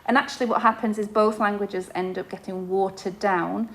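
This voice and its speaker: A woman's voice: medium pitch, steady tone, moderate pace, a bit bright. Young woman teacher, podcast-style chat for parents, lots of ums and restarts. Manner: explanatory, with frequent fillers and restarts. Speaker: inclusion teacher.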